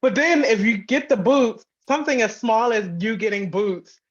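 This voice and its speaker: A woman's voice: a little high, normal speed, slightly rough. A woman giving a casual, informal interview, thinking aloud and repeating phrases as she goes. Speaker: interview guest, audio drama writer. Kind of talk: casual chat. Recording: slightly dull, lo-fi audio.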